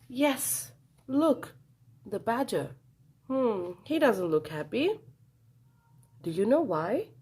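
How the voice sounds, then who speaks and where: A woman reads a storybook aloud for young children. Her delivery is slow and very animated, with a slightly husky voice. She tells it dramatically and draws the listeners in. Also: fairly high pitch. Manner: dramatic, engages listeners with questions.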